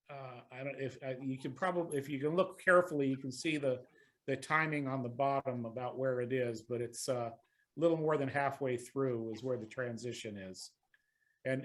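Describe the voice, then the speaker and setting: A man giving a technical presentation on a video call, speaking in a halting, hesitant way. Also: medium pitch.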